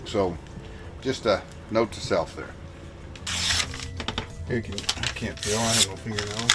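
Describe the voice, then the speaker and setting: A man's voice: on the low side, unhurried, heavy background noise. A man in his fifties, plainspoken and chatty, explaining a repair job inside a boat cabin. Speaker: sailing vlogger. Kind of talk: casual how-to narration.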